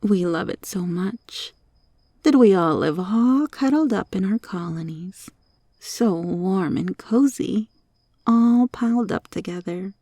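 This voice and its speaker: A woman voicing a sly, teasing monster cockroach girl in an ASMR roleplay, taunting and coaxing with deliberate, sometimes whispery delivery. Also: medium pitch, expressive, mellow, dry recording, studio quality. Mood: teasing, cozy.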